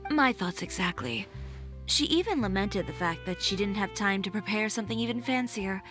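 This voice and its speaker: A woman's voice: mid-range voice, animated, normal speed, close to an everyday voice. Woman playing a self-assured boutique designer, mid-range voice, composed direct delivery, faint music behind. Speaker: boutique owner. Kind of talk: video game voice acting.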